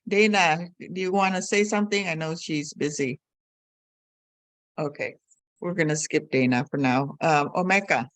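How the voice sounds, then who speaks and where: A woman calmly facilitating a group video call, calling on each person in turn. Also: low voice, moderate pace, no room sound. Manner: calm, organizing turns.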